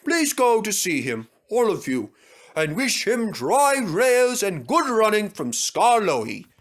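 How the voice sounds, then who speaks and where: A man voicing a kindly, very old male steam engine in a storybook reading, slow and gentle. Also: sits high, sing-song, pitched above the performer's own voice, exaggerated voice. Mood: fond.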